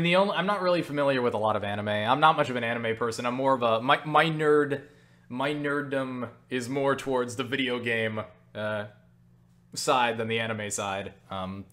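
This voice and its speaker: A man's voice: mid-range voice, fairly bright tone. A tired young man casually answering viewer questions on a webcam, joking and self-deprecating as he rambles. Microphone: webcam setup.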